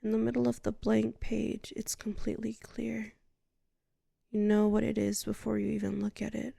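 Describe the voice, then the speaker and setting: A woman playing a soothing librarian hypnotist in a sleep ASMR roleplay, speaking softly and breathily in a half-whisper with lingering pauses. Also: mid-range voice, completely flat delivery, creaky, slightly rough, no room sound, clean studio sound. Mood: soothing, hypnotic. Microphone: close microphone.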